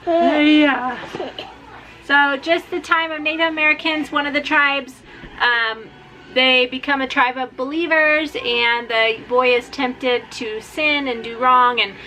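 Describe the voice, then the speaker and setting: A woman's voice: high voice, fairly even intonation, slow pace, pushed and bright, low-quality recording. A woman talking in a casual, informal vlog style as a homeschooling mother presenting books. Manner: informal, often breaks off to talk to her small children.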